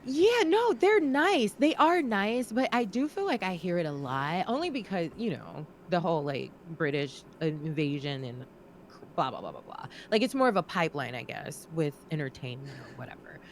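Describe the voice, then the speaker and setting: Lively, chatty young woman co-host. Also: lowish voice, moderate pace, slight background noise. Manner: chatty, lively, laughs often. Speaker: podcast co-host. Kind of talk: casual chat.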